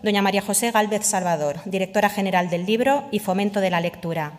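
Lady reading official minutes, mid pitch, echoey hall. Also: quick pace. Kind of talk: formal document reading. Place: large indoor hall. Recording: reverberant ceremony hall.

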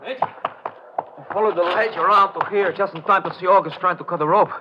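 A man's voice: high-pitched, normal speed, sharp tone. A male actor playing an earnest, puzzled ally of the hero in a 1950s radio drama, with formal phrasing. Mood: baffled, concerned. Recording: noisy, muffled vintage radio recording.

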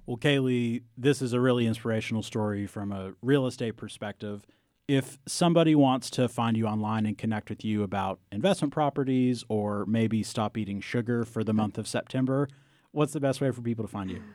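A man hosting a public radio interview show in a studio, friendly and measured. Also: medium pitch, darkish tone, no room sound. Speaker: radio host. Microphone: studio microphone.

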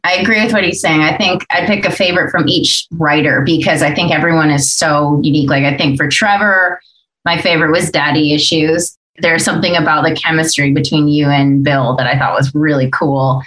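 A woman with a fairly low voice chats casually as a podcast host and voice actor. The recording is roomy, with noticeable echo around her words. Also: fairly even intonation, a little fast, fairly bright tone.

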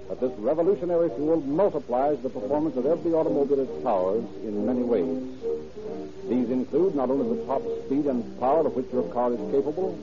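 A man announcing on 1930s radio in a polished, formal, scripted style that suits sponsor pitches and narration. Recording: muffled old 1930s radio broadcast recording.

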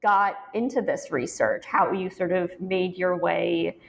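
A woman interviewing an author, asking measured, reflective questions. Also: lowish voice, vocal fry. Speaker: podcast interviewer. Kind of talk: academic interview.

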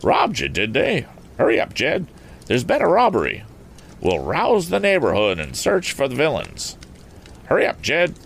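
A man voicing an excitable, suspicious country farmer with folksy, exclamatory talk in a storybook reading. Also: medium pitch, normal speed, creaky, pitched above the performer's own voice, heavily acted. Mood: excited, urgent. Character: excitable rustic old farmer, tall, suspicious then eager.

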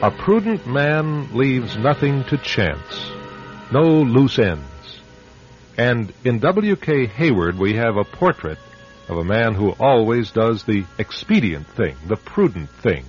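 Soft music plays behind a slightly dull radio recording of an American man. He narrates as the host of a drama series in a medium-pitched voice, with expressive rises and falls in a scripted delivery. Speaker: host, writer and director.

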